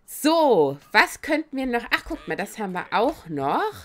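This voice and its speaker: Chatty, excitable woman with a cold. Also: a little high, unhurried. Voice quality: slightly raspy. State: has a cold. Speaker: game streamer. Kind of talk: casual let's-play commentary.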